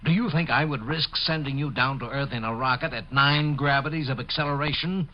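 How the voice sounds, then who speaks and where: An actor playing a stern, exasperated military doctor, gruff and officious, in a 1950s radio drama. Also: male voice, mid-range voice, brisk, lightly acted. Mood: grudging concern. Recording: muffled, noisy old radio recording.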